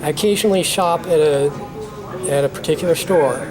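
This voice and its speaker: A man chatting casually, telling long stories and slowing down to explain things carefully. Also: fairly high pitch, over music, rough recording. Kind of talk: casual chat.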